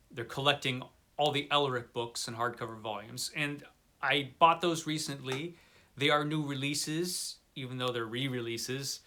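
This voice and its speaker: A man's voice: on the high side, sharp tone. A middle-aged man talking casually and unscripted to camera as a book vlogger, with a dry, playful manner and a habit of repeating himself.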